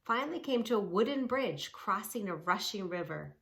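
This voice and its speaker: A woman reading a children's book aloud and gently guiding kids through yoga poses, soothing and instructive. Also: medium pitch, animated, moderate pace. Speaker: kids yoga teacher and reader.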